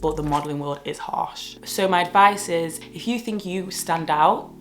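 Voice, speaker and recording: A woman's voice: lowish voice, moderate pace, soft background music. A woman in her twenties from the north of England with a northern English accent, talking bluntly in a casual Q&A vlog. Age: 20s. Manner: blunt and outspoken. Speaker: model and vlogger.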